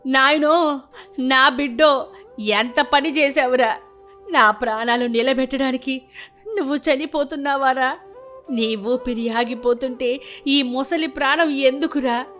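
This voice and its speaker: A woman's voice: high voice, a little slow, long pauses, a bit bright, faint music behind. A woman voicing an elderly village grandmother, loving and full of grief, in a melodramatic, lamenting storytelling delivery. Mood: anguished, wailing. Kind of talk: melodramatic moral-story voice acting.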